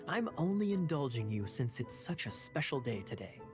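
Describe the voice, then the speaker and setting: Anime game rogueish knight, male actor: young, sly, playful, very animated melody in the voice. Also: medium pitch, normal speed, close to an everyday voice. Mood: amused, sly. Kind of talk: anime game dub acting. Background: music.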